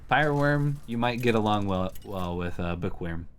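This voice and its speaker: A man's voice: fairly low pitch, animated, normal speed, slight background noise. A man giving casual gameplay commentary, thinking aloud as he plays. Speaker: host playing a card game.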